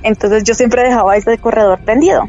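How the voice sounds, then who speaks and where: Guest: young woman, higher voice, hesitant animated storyteller, dull lo-fi call audio with music. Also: brisk. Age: young adult. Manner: animated, hesitant, many fillers. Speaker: guest telling a true story. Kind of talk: casual storytelling.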